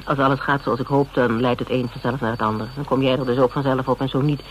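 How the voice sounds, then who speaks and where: An actor playing a stubborn, determined amateur sleuth in a Dutch radio crime drama, talking bluntly and colloquially. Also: male voice, medium pitch, steady tone, quick pace, close to an everyday voice. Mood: guarded, wry. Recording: noisy old radio drama recording.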